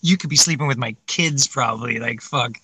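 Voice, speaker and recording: A man's voice: mid-range voice, brisk, pushed and bright, a little hiss or hum. A man in his forties co-hosting a casual livestream chat, rambling, laughing often and swearing casually. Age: 40s. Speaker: livestream co-host.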